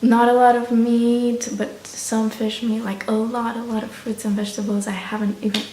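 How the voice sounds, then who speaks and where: A woman's voice: a little high, level tone, moderate pace, warm tone. Vlogger, young adult woman, Romanian accent, casual rambling delivery, recorded roomy and distant with faint noise. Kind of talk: casual chat to camera.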